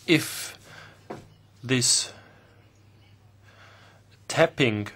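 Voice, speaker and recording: A man interpreting formal committee questions live, speaking in halting bursts with pauses mid-phrase. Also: medium pitch, sharp tone. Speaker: simultaneous interpreter.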